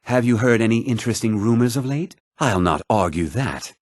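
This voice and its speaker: An actor playing a haughty, self-important nobleman in a fantasy video game, with a husky voice and a pompous, condescending, theatrical delivery. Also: mid-range voice, animated, moderate pace, no room sound, clean studio sound, natural voice. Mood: formal, measured. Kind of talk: scripted fantasy game dialogue lines.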